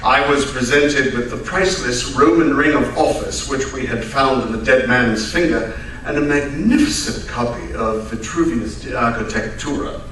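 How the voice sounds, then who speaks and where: A man plays a genial gentleman recounting detective adventures in a live radio play. His mid-pitched voice is measured. It comes from some distance in a heavily echoing hall with faint noise. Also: close to an everyday voice. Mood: genial, storytelling. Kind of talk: old-time radio drama narration, live performance.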